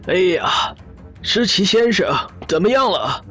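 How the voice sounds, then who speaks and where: A man's voice: high voice, very animated, measured pace, a bit bright, soft background music, heavily acted. A man acting a chatty, teasing member of a family circle in an audio drama. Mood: teasing, hearty.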